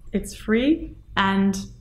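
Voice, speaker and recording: A young woman speaking to camera as host, gentle and earnest in a plain indoor room. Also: mid-range voice, extremely slow. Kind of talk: casual talk to camera.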